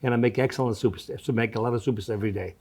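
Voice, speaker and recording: A man's voice: medium pitch, fairly even intonation, fast talker, creaky, darkish tone. A man in his seventies from Greece, a native Greek speaker with a Greek accent and non-native grammar, talking conversationally in an interview as a restaurant owner and often repeating his phrases. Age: 70s.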